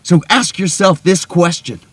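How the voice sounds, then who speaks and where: A man's voice: high voice, a little slow, fairly bright tone, breathy edge, dry recording, full character voice. A man playing an overwrought friend, persuading with grandiose, insistent rhetoric in a comic dramatic monologue. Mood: grandiose, scolding. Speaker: actor in a monologue reel.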